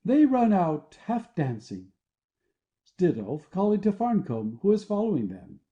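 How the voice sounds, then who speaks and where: A man's voice: sits high, sing-song, measured pace, warm tone, dull audio. A man reading stage directions as scripted narration for an audio play.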